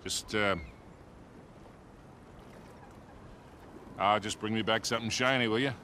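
A man's voice: lowish voice, fairly even intonation, fast, bright, forceful tone, a little hiss or hum. An actor playing a wary, protective older treasure hunter in a video game, an American man with a blunt, wry, folksy manner. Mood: wry resignation. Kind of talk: cinematic video game acting.

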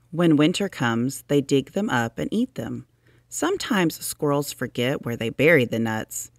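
A storybook narrator reads nonfiction aloud for children at a slow, careful pace. The delivery is expressive, stretching key words for emphasis. The voice is recorded close and dry. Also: medium pitch, dry recording. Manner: deliberate, expressive, with drawn-out emphasis. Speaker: narrator reading a children's science book aloud.

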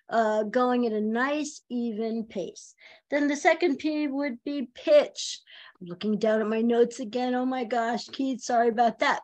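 A woman in her fifties, a communication coach, giving chatty, animated speaking advice with a high voice over a video call from home. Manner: chatty and animated, laughs often. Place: home room, indoors. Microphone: video call webcam.

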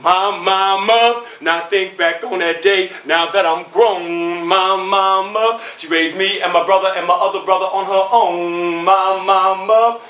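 A man's voice: sits high, steady tone, a little slow, few pauses, pushed and bright. A man performing a rhythmic spoken-word piece with a repeated refrain, acting out voices along the way. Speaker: playwright and performer. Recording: echoey hall.

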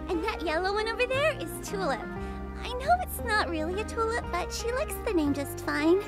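A woman voicing Cornelia, a gentle, dreamy young girl who talks softly and sometimes whispers, heard as game audio with music behind. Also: very high voice, animated, brisk. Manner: soft, childlike, sometimes whispering. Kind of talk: voiced game dialogue.

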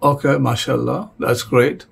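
A man's voice: mid-range voice, steady tone, measured pace, gravelly, breathy edge. A man hosting a TV interview, asking questions hesitantly with many fillers and restarts. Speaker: interviewer, TV host.

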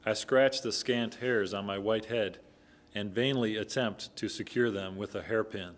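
Man reading a poem, low voice. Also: a little slow, slight background noise. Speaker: English reader of the translation. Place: small community room with an audience. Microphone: stand microphone, a step away.

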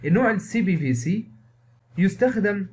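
A man's voice: high-pitched, animated, fairly quick. A man with an Egyptian accent giving an explanatory technical lecture as an instructor, asking and answering his own questions and mixing in English terms. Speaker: engineering instructor. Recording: clearly noisy voice-over recording.

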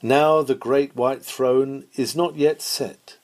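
A man's voice: medium pitch, fairly even intonation, slow, darkish tone. Solemn man reading an old sermon. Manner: solemn, oratorical, exhortatory delivery. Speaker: reader of historical sermons. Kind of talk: dramatic reading of a Victorian sermon.

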